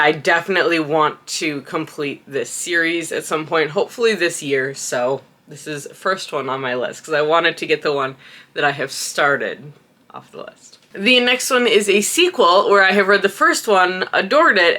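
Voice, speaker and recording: A woman's voice: on the low side, sharp tone. Sniffly woman chatting about books. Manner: chatty, thinks aloud with small self-corrections. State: stuffy from a cold, sniffly. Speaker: book channel host. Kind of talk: casual book chat to camera.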